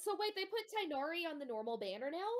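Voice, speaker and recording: A young woman chatting casually while gaming with a friend, excitable and teasing. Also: high voice, fast. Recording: music behind the voice. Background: music.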